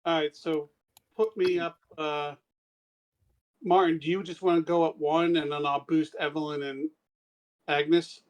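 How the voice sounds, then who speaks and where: A man's voice: a little high, level tone, a little slow, long pauses, poor audio. A man talking casually as a player in a tabletop roleplaying game.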